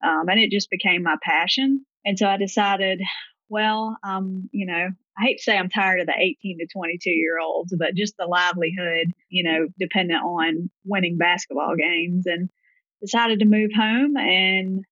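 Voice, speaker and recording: A woman from Mississippi with a Deep South accent, a gym owner chatting casually as a podcast guest and telling her story in loose, run-on phrases. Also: steady tone, normal speed, fairly bright tone, no echo, muffled recording. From Gulfport, Mississippi, US. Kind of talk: casual interview answers.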